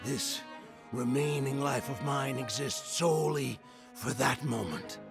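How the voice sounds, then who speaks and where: An actor playing an aged, guilt-ridden male troupe leader in a game cutscene, speaking slowly and solemnly, with music behind him. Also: medium pitch, creaky. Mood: mournful. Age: older. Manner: slow, brooding and solemn.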